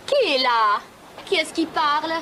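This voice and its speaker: A woman playing an alarmed, compassionate young woman in an animated film, anxious and urgent. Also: high-pitched, a little slow, a little hiss or hum, exaggerated voice. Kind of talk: French-language animated film voice acting.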